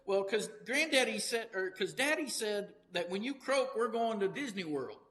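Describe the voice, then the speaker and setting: A woman's voice: medium pitch, expressive, normal speed, fairly bright tone, in a large hall, voice pushed up, lightly acted. A man voicing a small cheeky girl, eager and pleading.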